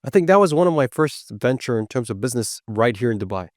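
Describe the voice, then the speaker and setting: A man hosting a podcast, asking guests short questions in a relaxed way. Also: mid-range voice, quick pace, dry recording, clean studio sound. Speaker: podcast host. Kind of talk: interview questions.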